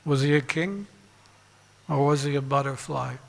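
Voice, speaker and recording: A man giving a religious sermon as a monk and teacher, deliberate, pausing and repeating phrases for emphasis. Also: medium pitch, gravelly. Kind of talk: sermon with parables and rhetorical questions. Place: large reverberant temple hall. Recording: long hall reverb.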